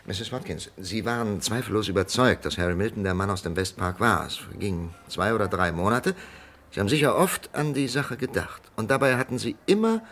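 Insistent, probing doctor, gravelly and animated. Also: male voice, fairly low pitch, a little fast. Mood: probing, controlled. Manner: insistent, questioning. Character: persistent, probing male doctor challenging a death sentence. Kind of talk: German radio crime drama acting. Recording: faintly noisy vintage radio drama recording.

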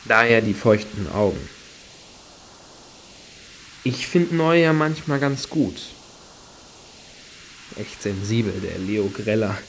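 A man's voice: fairly low pitch, animated, slow pace, long pauses, fairly bright tone, heavily acted. An actor playing a wordy, wry and hesitant male fan in a German radio play. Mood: wry, musing. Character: hesitant, sardonic male fan of a washed-up satirist. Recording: hissy background noise.

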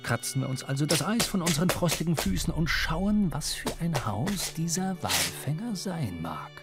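A man's voice: a little high, very animated, normal speed. A man playing a wry, brooding seafarer who narrates his own adventure in a literary radio drama. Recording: clear music behind the voice.

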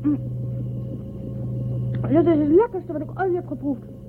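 A young female shuttle crew member in a radio play, dutiful and careful, with a high voice. She sounds earnest and a little chiding, on a narrow lo-fi recording with music underneath. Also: unhurried. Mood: wary, curious. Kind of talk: radio drama acting.

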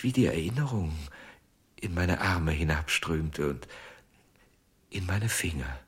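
Low, breathy, haunted man. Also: heavily acted. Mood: hushed, entranced. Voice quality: husky, breathy, often near whisper. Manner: slow, halting, hushed storytelling. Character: haunted, obsessive male ventriloquist confessing, tender and weary. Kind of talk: German crime radio drama acting.

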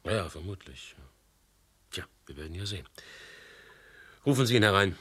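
An actor playing a calm, probing police commissioner in a radio play. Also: male voice, sits low, moderate pace, breathy edge, full character voice. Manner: probing, dry questioning.

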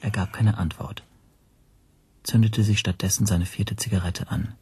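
Narrating a crime radio play, really raspy lowish voice, sober n measured. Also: male voice, fairly even intonation, darkish tone. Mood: sober, observant. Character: reflective first-person narrator recalling his interrogation. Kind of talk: scripted literary narration in radio drama.

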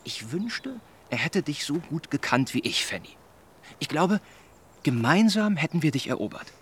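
An actor playing an earnest, well-meaning young gentleman in a German radio drama, speaking in a measured, formal, persuading way. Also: fairly high pitch, big pitch swings, slight background noise. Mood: earnestly persuasive.

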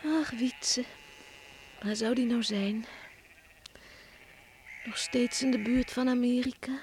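A woman's voice: fairly high pitch, fairly even intonation, slow, darkish tone, slight background noise. An actress playing a young farm girl in a Dutch radio play, cheerful, chatty and wistful. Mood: wistful, musing. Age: young adult.

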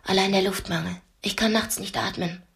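An actress in a radio play as a brave, sharp-witted young captive, speaking directly and persuasively. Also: female voice, medium pitch, steady tone, a little fast, creaky. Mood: urgent, reproachful. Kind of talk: radio drama acting.

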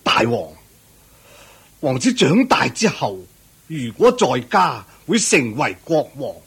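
A man playing a humble, solemn elderly holy seer in a radio drama, grave and deliberate. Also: medium pitch, very animated, creaky, fairly bright tone, slightly rough, heavily acted. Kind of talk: Cantonese radio drama acting.

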